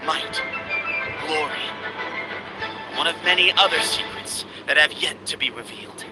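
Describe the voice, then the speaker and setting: A young man voicing an earnest desert temple heir and duelist in a video game, speaking solemnly and deliberately. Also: on the high side, over loud music, natural voice. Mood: reflective, sincere. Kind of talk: dramatic English game voice acting.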